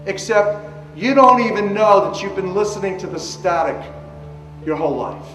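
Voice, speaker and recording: A man with a high voice speaks as a lecturer, emphatic and deliberate in his phrasing. The sound is roomy and echoing, with faint music running underneath. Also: expressive, a bit bright, far from the mic. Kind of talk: lecture-style explanation.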